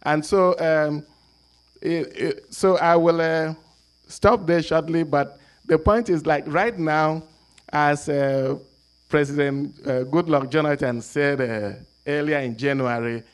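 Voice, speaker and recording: A man speaking at an academic panel, measured and hesitant with frequent restarts. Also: fairly high pitch, steady tone, clean tone. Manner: measured, many fillers and restarts. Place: conference room.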